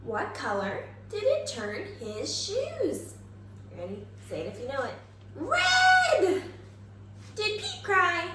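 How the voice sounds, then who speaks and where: A woman teacher giving an expressive, exaggerated children's read-aloud and simple lesson, prompting listeners with questions. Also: very high-pitched, takes it slowly. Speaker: kindergarten teacher reading aloud. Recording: echoey room, faint background noise.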